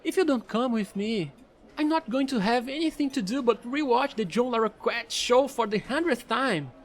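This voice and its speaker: Guy doing a chatty fallen angel space pilot, high voice that swoops around, playful audio roleplay. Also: animated, normal speed. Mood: pleading. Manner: rambling, playful, pleading, casual slangy talk. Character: offbeat fallen angel space trader, non-binary, eager and chatty. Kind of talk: audio roleplay monologue addressed to the listener.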